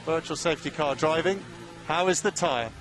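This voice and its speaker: A man working as a race engineer, giving terse, measured instructions to a driver over team radio and repeating key calls. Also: on the high side, a bit bright, faint music behind.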